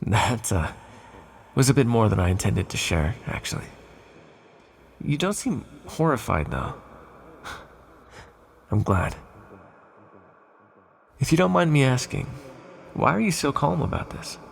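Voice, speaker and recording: An ancient, accursed villain, acted by a man for an ASMR roleplay, speaks in a low voice thick with gravelly fry. The delivery is measured and formal, with hesitant confidences. Menace sits beside a tender, calm temper. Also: full character voice. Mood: hesitant, curious.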